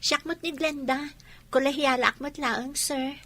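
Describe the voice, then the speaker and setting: A woman's voice: high-pitched, steady tone, unhurried, sharp tone. A woman with an Ilocano accent playing a teasing young college girl, a sidekick in a radio drama. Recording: faint background noise.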